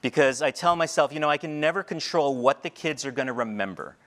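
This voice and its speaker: A middle-aged teacher telling personal stories in a talk on a large indoor stage, shifting between quiet reflective moments and light humor. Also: male voice, fairly high pitch, lively intonation, quick pace. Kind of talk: storytelling keynote talk. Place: large stage before a seated audience, indoors. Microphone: headset mic.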